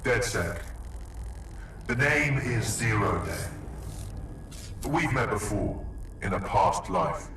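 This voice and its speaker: An actor playing a menacing masked hacker villain in a game, speaking gravely and theatrically. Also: male voice, medium pitch, sing-song, drawn-out words, pauses often, slight background noise, heavily acted. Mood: cold menace. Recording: echoing distant voice under loud music.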